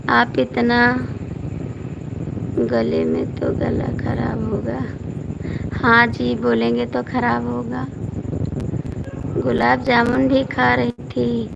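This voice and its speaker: Hoarse, gravelly woman chatting on a live stream. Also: fairly high pitch, dull audio, noisy background. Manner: chatty, repeatedly coaxing viewers by name to comment. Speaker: folk singer hosting a live stream. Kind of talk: casual live-stream chat reading out viewer comments.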